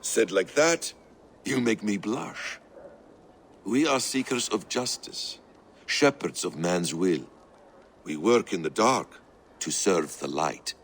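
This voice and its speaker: A secretive assassin mentor speaks in a very deep, measured voice. His intonation rises and falls expressively as he persuades. Faint ambient sound runs behind him in the game scene. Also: animated, fairly bright tone, slight background noise, exaggerated voice. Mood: calm. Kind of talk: video game cutscene acting.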